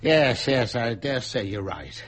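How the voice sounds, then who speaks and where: An actor playing a blustering, exasperated captain of an ocean liner in a radio drama, authoritative in manner. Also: male voice, mid-range voice, sing-song, unhurried. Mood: subdued, grudging. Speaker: ship's captain.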